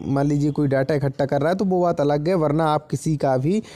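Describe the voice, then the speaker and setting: A man's voice: on the high side, steady tone, brisk, dark tone. A man giving legal advice to viewers in an informal explainer style, with a didactic, repetitive manner and frequent check-in tags.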